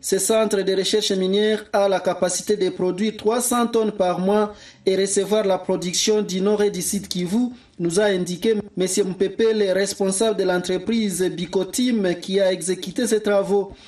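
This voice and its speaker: A man's voice: sits high, steady tone, moderate pace, a little mellow. A male correspondent narrating a regional news report in a formal, scripted way.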